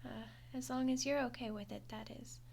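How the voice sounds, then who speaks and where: A woman's voice: on the high side, steady tone, normal speed, vocal fry, a little mellow, slight background noise. A woman voicing a friendly, awkward undead skeleton girl in an audio roleplay, chatty and hesitant with a wry, defensive streak. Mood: friendly, sheepish. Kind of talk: audio roleplay monologue to the listener.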